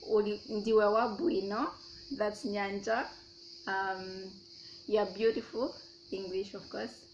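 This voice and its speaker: A woman's voice: medium pitch, fairly even intonation, slow pace, lo-fi sound, a little hiss or hum. A young Zambian woman with a Zambian accent, chatting casually as she answers her own questions in a vlog. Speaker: YouTuber.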